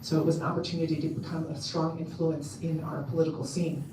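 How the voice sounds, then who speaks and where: A woman with a loud voice giving a casual talk to a convention audience, chatty and full of ums and restarts. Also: low-pitched, level tone, fast talker, slight background noise. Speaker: convention speaker. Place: convention hall. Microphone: handheld mic on a stand. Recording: echoey hall, mic at a distance.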